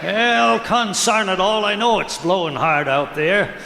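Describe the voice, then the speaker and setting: A man's voice: high voice, animated, measured pace, vocal fry, bright, forceful tone, exaggerated voice. A man playing a cranky, impatient old grandpa who grumbles in folksy exclamations, in an old-time radio comedy. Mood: grumpy, impatient. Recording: echoey, noisy old radio recording.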